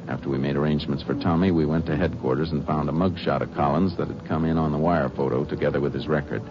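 An actor playing a steady, probing Texas Ranger lawman in a 1950s radio western, matter-of-fact in questioning and narration. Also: extremely low pitch. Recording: muffled 1950s radio recording.

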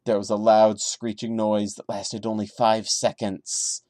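Low-voiced man dramatically reading horror, dry sound. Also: little pitch movement, unhurried, darkish tone, dry recording. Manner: theatrical narration broken by incredulous, sarcastic asides. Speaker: podcast host reading a horror story aloud. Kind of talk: dramatic reading with mocking commentary.

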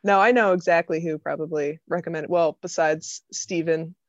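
A woman's voice: deep voice, steady tone, moderate pace, no room sound. An American woman from Vermont, a comedian guesting on a podcast, chatting casually in a wry, informal way. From Vermont, United States. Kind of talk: casual chat. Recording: slightly dull, lo-fi microphone sound.

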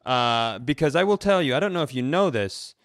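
A man's voice: mid-range voice, lively intonation, a little fast, no echo, clean studio sound. A man co-hosting a comedy podcast, chatting casually in a rambling, bantering storyteller's way.

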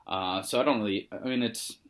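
Young male podcast co-host, very deep voice, monotone and easygoing, loose chatty delivery. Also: brisk. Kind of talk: casual sports chat.

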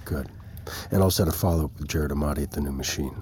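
An actor playing a concerned, probing male adviser in a naturalistic audio drama, halting, careful and understated. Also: very deep voice, a little mellow, a little hiss or hum. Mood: probing, protective.

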